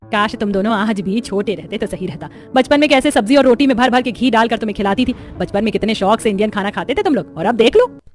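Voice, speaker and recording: A woman's voice: a little high, fast, lightly acted. A woman playing a caring, fretful middle-aged mother in a story, complaining in a motherly way. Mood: exasperated. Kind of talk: cartoon moral-story acting.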